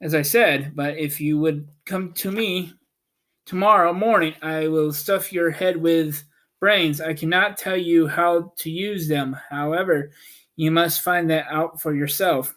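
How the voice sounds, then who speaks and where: A meek little storybook wizard, as a reader plays him, kindly and apologetic. He reassures in slow, measured sentences at a middle pitch. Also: fairly bright tone, close to an everyday voice. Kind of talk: storybook character reading.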